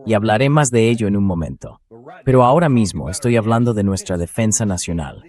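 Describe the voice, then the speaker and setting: A man's voice: lowish voice, lively intonation, a little fast, a little mellow. A man hosting a political commentary show, explaining his points emphatically and addressing viewers directly.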